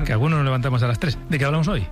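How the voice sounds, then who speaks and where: A man's voice: mid-range voice, rapid-fire, over loud music. A man with a Spanish accent from Spain, hosting a casual radio chat in a playful, teasing manner, as a studio host.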